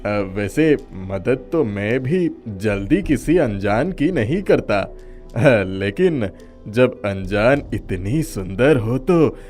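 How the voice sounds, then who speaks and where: A man's voice: lowish voice, lively intonation, slow, a little mellow, soft background music. A man voicing a flirtatious, suave factory manager with a hesitating manner in a horror story. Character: flirtatious male factory manager offering a lift. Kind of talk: dramatised horror story dialogue.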